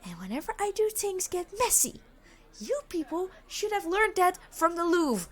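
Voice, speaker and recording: A woman's voice: extremely high pitch, very animated, moderate pace, fairly bright tone, pitched above the performer's own voice, heavily acted. A woman playing a reluctantly decent supervillain in an audio roleplay, speaking in a dry, formal, bossy, matter-of-fact way. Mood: stern, threatening. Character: famous immortal supervillain, exasperated, reluctantly decent. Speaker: robbery leader.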